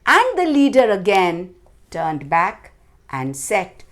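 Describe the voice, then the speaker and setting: Very slow, animated woman storyteller. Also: medium pitch. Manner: deliberate, dramatic phrasing with stressed words. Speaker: children's storyteller. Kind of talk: expressive storytelling for children.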